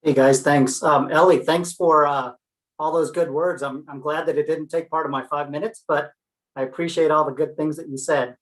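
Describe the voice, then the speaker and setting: A man's voice: fairly high pitch, steady tone, moderate pace, rough recording. A man in his forties speaking casually as a city representative on a video call. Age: 40s. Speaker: city representative giving a pitch. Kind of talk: casual remarks. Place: indoor office on a video call.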